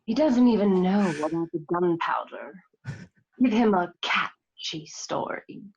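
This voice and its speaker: A sly, purring female cat burglar, acted by a woman, drawing her lines out slowly and conspiratorially. The sound is slightly dull, like a home recording. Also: fairly low pitch, expressive, husky, exaggerated voice. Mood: scheming.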